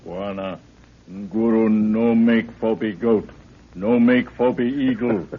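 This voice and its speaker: A man's voice: fairly low pitch, steady tone, slow pace. A man playing a huge, faithful, grumbling Maasai bodyguard who speaks broken English with grunts in a 1930s radio adventure. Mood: grumbling, reluctant. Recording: tinny, noisy 1930s radio recording.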